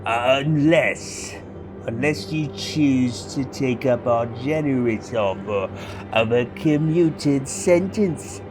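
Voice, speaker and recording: A man plays a cruel nobleman in dark armor for an audio drama. He speaks slowly and pompously, with mock courtesy that carries open menace. Music plays clearly behind him. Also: mid-range voice, pitched above the performer's own voice. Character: darkly armored noble, sneering, bellowing, cruel male authority. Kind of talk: scripted audio drama acting.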